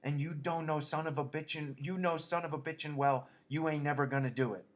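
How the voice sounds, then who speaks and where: A man voicing a nagging aunt who scolds her nephew in a shrill, raised voice. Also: steady tone, fairly quick, close to an everyday voice. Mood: scolding.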